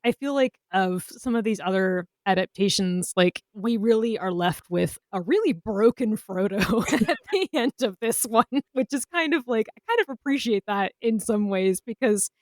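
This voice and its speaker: A woman's voice: medium pitch, moderate pace, hardly any pauses, no echo. A woman co-hosting a casual podcast, chatting in a slangy, giggly way. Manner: giggly, slangy, animated reactions. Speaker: podcast co-host. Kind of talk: casual chat about Tolkien adaptations.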